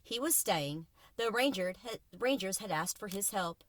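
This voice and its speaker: A woman reading a children's story aloud as host, with expressive, emphatic delivery. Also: mid-range voice, normal speed, dry recording. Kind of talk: children's audiobook read-aloud.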